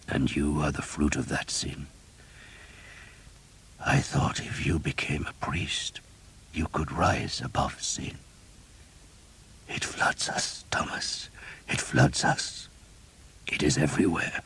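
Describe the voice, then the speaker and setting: A man's voice: rumbling low, fairly even intonation, slow, hardly any pauses, dark tone, husky, heavily acted. A man voicing a dying older male priest who speaks in a weak, strained whisper. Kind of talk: audiobook character reading.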